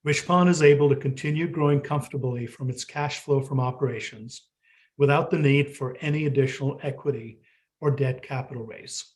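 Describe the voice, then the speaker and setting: Male CFO for an earnings video call. Medium pitch, formal, scripted corporate read. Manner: formal, reading a prepared statement. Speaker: chief financial officer.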